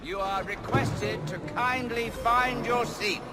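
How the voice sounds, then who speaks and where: Announcer, very high voice, drawn-out ceremonious call, period game. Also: male voice, fairly even intonation. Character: formal male theatre announcer calling the audience. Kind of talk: public announcement.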